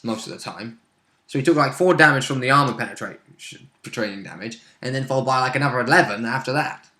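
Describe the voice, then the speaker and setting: Sharp, bright man in an echoey room. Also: medium pitch, quick pace. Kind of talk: casual animated chat. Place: indoor room. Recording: roomy echoing home recording.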